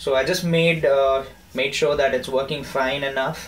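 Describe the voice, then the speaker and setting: A man's voice: medium pitch, flat delivery, brisk, low-quality recording. South Indian man vlogging over noticeable noise. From Bangalore, India. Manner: chatty, mixes in Kannada phrases. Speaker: motorcycle vlogger. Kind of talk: casual hands-on installation walkthrough.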